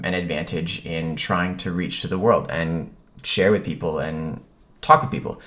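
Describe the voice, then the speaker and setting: Male vlogger, American accent, low-pitched voice, informal first-person storytelling with clipped phrasing. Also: fairly bright tone.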